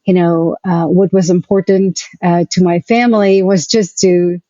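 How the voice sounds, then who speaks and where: A woman with a South African accent speaking conversationally as an interview guest, haltingly and reflectively repeating words. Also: lowish voice, steady tone, warm tone, dry recording, lo-fi sound. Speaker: interview guest, arts nonprofit executive. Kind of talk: conversational personal account.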